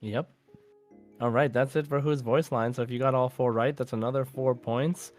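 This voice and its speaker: A man's voice: medium pitch, steady tone, moderate pace. A man chatting casually as a podcast co-host who takes part in a quiz. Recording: faint music behind the voice. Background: faint music.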